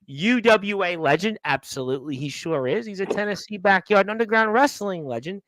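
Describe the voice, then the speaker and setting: A man's voice: high voice, normal speed, fairly bright tone, no echo. A man from Brooklyn, hosting a radio show and chatting in a rambling way, greeting listeners as he goes. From Brooklyn, New York. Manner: rambling, chatty, full of uh fillers. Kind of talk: casual chat with shout-outs to listeners.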